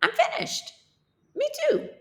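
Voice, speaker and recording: A woman's voice: slow, sharp tone, echoey room. A woman voicing an eager, chirpy character from a children's picture book. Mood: excited.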